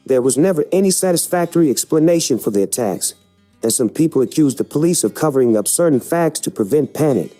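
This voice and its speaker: A man reading scripted documentary narration in a measured, serious manner. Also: on the high side, animated, dark tone. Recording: music bed behind the voice.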